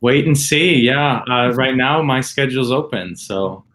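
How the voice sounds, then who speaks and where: A man's voice: medium pitch, measured pace, fairly bright tone. A man from the Chicago area, an opera singer, introducing himself in a casual chat. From Chicago area, United States.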